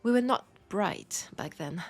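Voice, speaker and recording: A woman playing a lonely, centuries-old female vampire in an intimate audio drama, with a French accent and a soft, confiding, unhurried delivery. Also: mid-range voice, lively intonation, soft background music. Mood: dark amusement. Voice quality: soft, breathy, often dropping to a whisper. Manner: unhurried, confiding, pausing between phrases.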